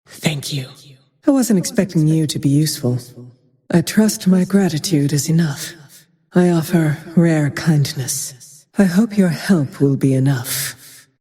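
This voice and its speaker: An actress playing a cold, bitter, vengeful female archer in a video game, breathy and husky, with a grim, disdainful, deliberate delivery. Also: sits low, warm tone. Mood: cool, reserved. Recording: long cavernous reverb.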